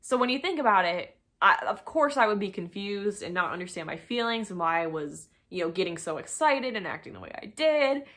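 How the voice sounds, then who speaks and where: A woman's voice: medium pitch, sing-song, brisk, hardly any pauses, a bit bright. A young adult woman telling a personal story in a chatty, informal, self-deprecating way, slipping into bits of quoted dialogue. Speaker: storytime vlogger.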